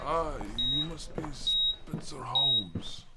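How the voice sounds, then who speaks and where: An actor playing a badly injured pilot in an audio drama, short of breath and coughing as he struggles through halting words. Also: on the high side, huge pitch swings, full character voice. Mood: weak, grateful. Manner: halting, broken speech with trailing words. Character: gravely injured male pilot, weak and struggling to speak. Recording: very noisy amateur recording.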